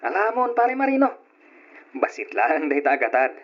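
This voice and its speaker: An actor playing a kindly, easygoing workmate and buddy in a radio drama, talking in a chummy way. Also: male voice, on the high side, sing-song, normal speed. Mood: friendly. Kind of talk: old-style radio drama acting. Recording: muffled old radio drama recording.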